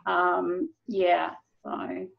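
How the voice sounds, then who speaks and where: A woman's voice: on the low side, completely flat delivery, extremely slow, creaky, dull audio. A woman from England with an English accent, hosting an interview and talking about spirituality over a video call. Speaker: interview host. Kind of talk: casual interview chat.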